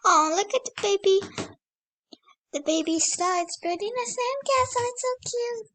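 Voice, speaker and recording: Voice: very high voice, fairly even intonation, normal speed, pushed and bright. A child hosting a read-aloud, reading a picture book and breaking off to exclaim over the pictures with wonder.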